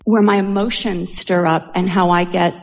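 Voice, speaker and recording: A woman from a seminar audience telling a personal anecdote conversationally, halting and interrupting herself. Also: lowish voice, steady tone. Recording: reverberant hall sound.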